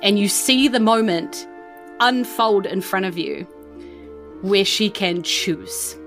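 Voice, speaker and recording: A woman's voice: mid-range voice, slow, a bit bright. A woman with a New Zealand accent hosting a tabletop game podcast in an informal, rambling, self-deprecating way. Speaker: game master and podcast host. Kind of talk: casual live-streamed game narration and chatty sign-off. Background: faint music.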